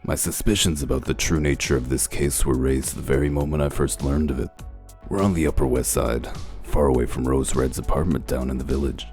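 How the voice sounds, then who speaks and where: A man playing a gruff, dry wolf detective who explains things in a deliberate, self-assured way in a comic dub. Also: very deep voice, fairly even intonation, darkish tone. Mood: smug, methodical. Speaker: detective character and first-person narrator. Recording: music under the voice. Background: background music.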